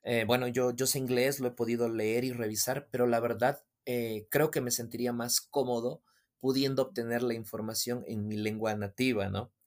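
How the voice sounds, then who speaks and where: A man who is a podcast guest, explaining things at a measured pace with frequent "eh" fillers. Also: mid-range voice, fairly even intonation. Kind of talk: explaining in a casual interview.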